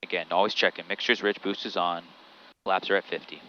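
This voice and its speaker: A man's voice: sits low, flat delivery, fairly quick, pushed and bright. Methodical man narrating over muffled cockpit noise. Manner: methodical, terse, repeats checklist phrases. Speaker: pilot flying solo. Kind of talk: cockpit commentary, step-by-step callouts. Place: small airplane cockpit in flight. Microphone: aviation headset. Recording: muffled aviation headset audio, noisy cockpit.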